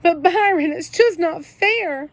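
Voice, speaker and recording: A heartbroken young boy , as a woman reader puts him on, cries through every line, his voice pitched high and choked. His words tumble out pleading and trembling. It is read as a storybook audiobook. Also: expressive, normal speed, dry recording. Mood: tearful pleading. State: crying. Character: tearful ten-year-old boy, sensitive and ashamed.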